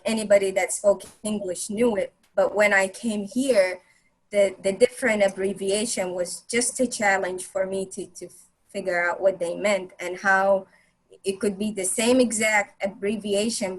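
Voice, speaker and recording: Halting woman, Arabic native speaker. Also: medium pitch, steady tone, low-quality recording. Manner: halting, many restarts and fillers. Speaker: presenter on language barriers. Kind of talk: casual group discussion.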